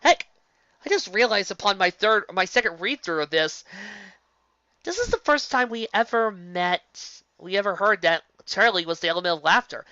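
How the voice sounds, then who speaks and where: A woman casually commenting on a fan story she reads aloud. She rambles, restarts and corrects herself, and jumps up in pitch for emphasis. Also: normal speed, dry recording, muffled recording. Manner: animated, jumping up in pitch for emphasis. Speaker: fan fiction reader and commentator.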